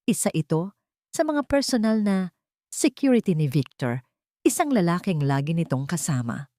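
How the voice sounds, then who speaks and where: A woman's voice: lowish voice, sing-song, moderate pace, warm tone, no room sound, studio quality. A woman reading dramatic scripted narration for an audio drama.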